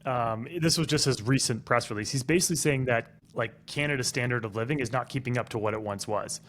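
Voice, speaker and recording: A man's voice: mid-range voice, fast talker, fairly bright tone, a little hiss or hum. A man co-hosting a casual podcast who gives opinions and explains things at length. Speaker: podcast co-host.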